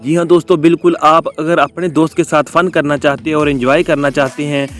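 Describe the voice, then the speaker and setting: Higher-pitched man hosting a tutorial. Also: level tone, fairly quick, fairly bright tone, soft background music. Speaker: host of a tech tutorial channel. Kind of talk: casual how-to tutorial narration.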